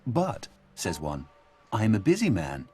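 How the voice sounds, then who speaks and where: A man reading essay quotations from a script in a measured, articulate manner. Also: fairly low pitch, wildly swooping pitch, darkish tone, slightly rough, faint music behind.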